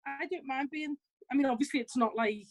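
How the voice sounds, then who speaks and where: A woman co-hosting a podcast, chatting in a relaxed way and bringing the others in with questions. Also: high-pitched, fairly quick. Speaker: podcast co-host. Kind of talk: casual panel chat.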